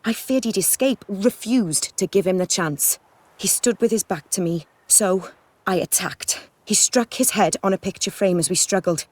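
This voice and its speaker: A woman in a video game playing a worldly, self-assured aristocratic lady who speaks in measured, elegant, formal sentences. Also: medium pitch, a little mellow, no echo, close to an everyday voice. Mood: guarded recounting.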